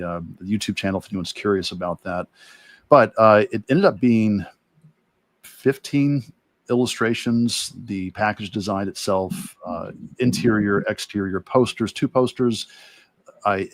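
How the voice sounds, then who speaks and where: A man's voice: on the low side, dry recording. A man speaking casually and reflectively as a guest illustrator explaining his work on a livestream, thinking aloud as he goes. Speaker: guest illustrator and art teacher.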